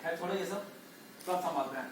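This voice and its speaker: A man's voice: high voice, a little fast, noisy background, lightly acted. Man acting stern senior clown, echoey hall. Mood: indignant, scolding. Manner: scolding, admonishing. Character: stern, easily offended senior male circus clown. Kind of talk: Hindi amateur stage play acting. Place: theatre stage, far from the microphone. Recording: echoey hall, far mic, music underneath.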